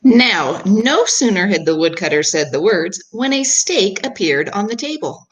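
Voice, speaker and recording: A woman's voice: medium pitch, very animated, a little slow. A woman teacher reading scripted narration of a children's storybook tale.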